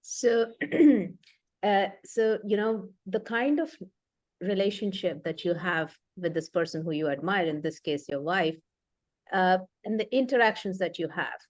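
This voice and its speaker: A woman's voice: mid-range voice, measured pace, dry recording. A woman leadership coach explaining concepts thoughtfully in conversation, with frequent fillers and restarts.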